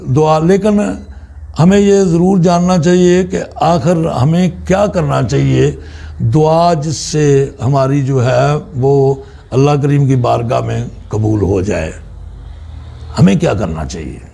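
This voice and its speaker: A man giving an unscripted religious teaching talk, halting and deliberate, repeating phrases as he explains. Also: a little high, gravelly, warm tone. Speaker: religious teacher, Islamic preacher.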